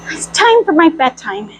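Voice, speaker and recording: A woman with an extremely high, lively voice tells a story and chats in character. She plays at being a cartoon mouse girl, with a childlike, playful manner and animated swings in pitch. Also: a little hiss or hum. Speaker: storyteller in costume. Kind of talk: in-character storytime reading and chat.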